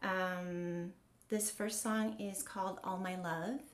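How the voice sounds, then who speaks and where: A woman's voice: mid-range voice, steady tone, slow, roomy sound. An American woman from Wisconsin, a musician, casually introducing herself in a hesitant, giggly way. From northern Wisconsin, USA. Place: online event on video call.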